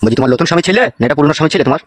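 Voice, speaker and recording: Cartoon villain for a man to play: swaggering male local goon, fast delivery, leering and threatening. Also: on the high side, expressive, no echo, close to an everyday voice. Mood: probing. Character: lecherous, menacing male neighbourhood thug, boastful. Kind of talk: comedy cartoon villain dialogue.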